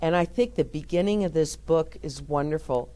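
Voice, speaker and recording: A middle-aged woman reading a picture book aloud and chatting gently and encouragingly to young children. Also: deep voice, normal speed. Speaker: children's storyteller. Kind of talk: read-aloud story time with chat to children.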